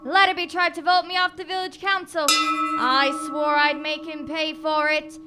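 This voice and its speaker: A woman's voice: high voice, steady tone, normal speed, over loud music, close to an everyday voice. A woman playing a power-crazed village postman and bell-ringer, a man, in old-time radio drama style, gloating with menace.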